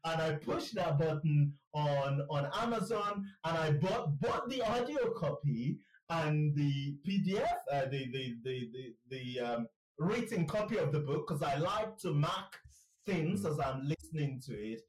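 A man's voice: a little high, lively intonation, measured pace, reverberant, distant mic, rough recording. A man hosting a podcast interview, enthusiastic and chatty, often repeating words and laughing. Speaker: podcast host. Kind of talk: casual interview chat.

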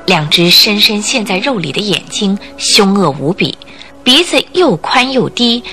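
A woman's voice: medium pitch, bright, forceful tone. A woman narrator telling a scripted radio drama story in a vivid, emphatic way.

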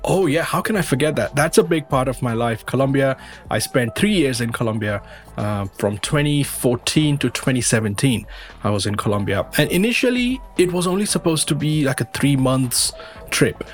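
A man's voice: mid-range voice, lively intonation, moderate pace, soft background music. A man from western India, an English teacher, talking casually as an interview guest and often rephrasing himself. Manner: rambling, repeats and rephrases himself.

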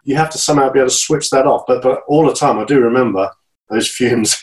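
A man's voice: medium pitch, quick pace. A man in his fifties from Great Britain, a guest talking in an interview, earnest and encouraging, often repeating and restarting his words. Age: 50s. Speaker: guest, channel swimmer. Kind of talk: casual interview talk.

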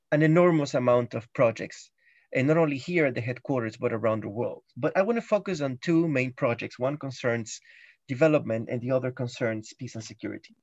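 A man's voice: mid-range voice, no echo, dull audio. A middle-aged man giving a guest interview on a podcast, explaining carefully with frequent ums and restarts. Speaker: guest, UN guided tours chief.

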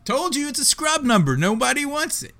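A man's voice: very high voice, sing-song, a little fast, bright, forceful tone, slight background noise. A man co-hosting a sports podcast, teasing his partner and laughing often in casual chat. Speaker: podcast co-host.

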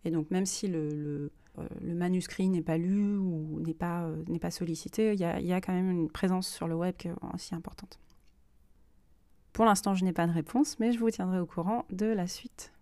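A woman's voice: fairly low pitch, fairly even intonation, moderate pace, dark tone, no echo. A woman hosting a personal podcast, speaking unscripted and reflectively, often hesitating as she searches for her words. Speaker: podcast host and self-published author.

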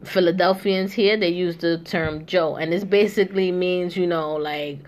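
A woman's voice: fairly low pitch, fairly even intonation, normal speed. A young woman hosting a casual chat, talking loosely with many asides and fillers. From New York. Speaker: host.

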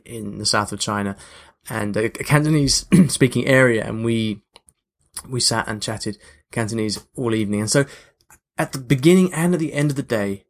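A man's voice: medium pitch, a bit bright. Conversational man hosting a podcast. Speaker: podcast host. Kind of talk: casual chatty monologue to listeners.

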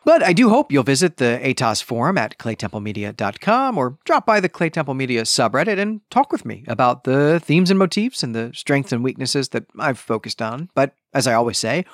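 A man's voice: mid-range voice, sing-song, brisk, few pauses, no room sound, clean studio sound. A man hosting a book review podcast, speaking in an emphatic, opinionated and conversational way. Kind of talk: podcast book review, reading passages and commenting.

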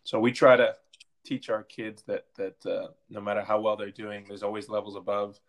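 A man with a fairly low voice answers interview questions as a coach. His intonation stays very flat. He talks informally, often doubling back on his words. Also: normal speed. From Belgium. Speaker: interview guest, soccer coach and team captain. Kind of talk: conversational interview.

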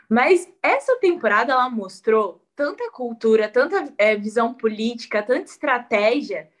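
A woman's voice: a little high, a bit bright. A woman with a Brazilian accent chatting casually and enthusiastically as a cast member on a show. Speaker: actress on the show. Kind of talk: casual chat.

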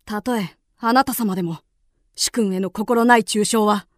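A woman's voice: mid-range voice, unhurried, lightly acted. A woman acting in a radio drama as an earnest, steadfast young male envoy, speaking formally and with firm deference. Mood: earnest, resolute.

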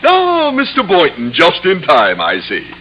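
An actor playing a fussy, excitable, gushing photographer with a fluttery manner in an old radio comedy. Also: male voice, high-pitched, unhurried, lo-fi sound, exaggerated voice. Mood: excited, fussy. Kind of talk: 1950s radio comedy acting. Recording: noisy old radio broadcast recording.

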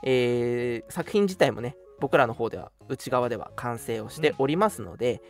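抑揚豊かな男性ラジオパーソナリティ. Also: male voice, mid-range voice, expressive, normal speed, soft background music. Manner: polite announcer phrasing mixed with playful banter. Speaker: radio show host and scriptwriter. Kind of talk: casual hosting with announcements.

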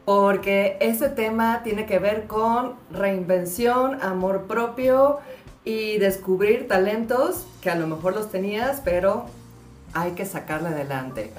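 A woman's voice: mid-range voice, slow pace. A Venezuelan woman hosting a podcast, upbeat and playful as she introduces her guest. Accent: Venezuelan Spanish. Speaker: podcast host. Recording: roomy sound with faint music.

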